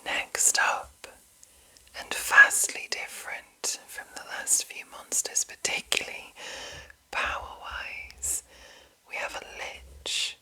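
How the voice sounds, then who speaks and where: Slow, breathy whispering ASMR woman. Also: pushed and bright, rough recording. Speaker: ASMR host reading a monster manual.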